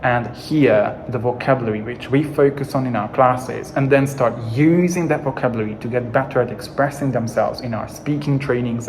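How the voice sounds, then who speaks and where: A man's voice: mid-range voice, darkish tone, a little hiss or hum, rough recording. A young adult man from Hungary with a Hungarian accent, speaking English as a second language, giving an earnest, encouraging teaching monologue as an English coach.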